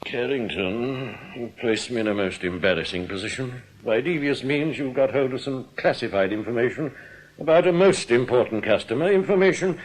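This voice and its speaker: A man playing a smooth, domineering English bank manager in a radio drama, with a condescending, clubby manner. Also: medium pitch, natural voice, rough recording. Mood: guarded, stern. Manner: condescending, old-school clubby address. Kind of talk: British radio drama acting.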